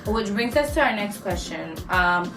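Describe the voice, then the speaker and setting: A woman in her twenties chats her way through a couple's Q&A, rambling with lots of "um" and "like." Her mid-pitched voice sits at a distance from the mic. The room echoes, and music plays underneath. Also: expressive, normal speed. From New York and Florida, USA. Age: 20s. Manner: chatty, rambling with many fillers. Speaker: vlog co-host. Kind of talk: casual Q&A chat.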